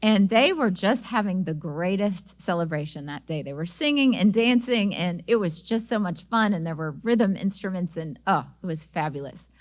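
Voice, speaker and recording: A woman's voice: fairly low pitch, expressive, normal speed, hardly any pauses. A middle-aged woman, a singer-songwriter, giving an inspirational talk and telling personal stories, with small restarts as she talks. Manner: warm storytelling with small restarts.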